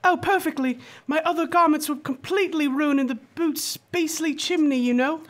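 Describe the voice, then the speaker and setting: A woman playing a tiny, vain, chipper English gentleman in a radio serial, with plummy, fussy British speech and hesitant stammers. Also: high-pitched, normal speed, natural voice. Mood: chipper, bashful. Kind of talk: old-time radio serial acting.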